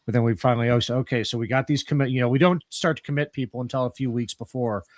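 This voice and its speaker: A man hosting an informal post-show chat, often restarting his words in a halting way. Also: medium pitch, dry recording. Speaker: host of a radio play group.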